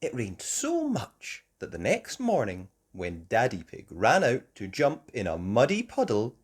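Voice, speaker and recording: A woman narrating a children's storybook aloud in an expressive, measured way. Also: a bass voice, breathy edge.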